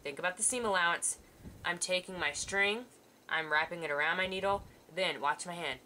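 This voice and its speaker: A woman's voice: fairly low pitch, normal speed, pushed and bright, slight background noise, low-quality recording. A woman art teacher giving a patient, reassuring step-by-step sewing demonstration while working with her hands at a table. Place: indoors at a table, overhead camera on hands.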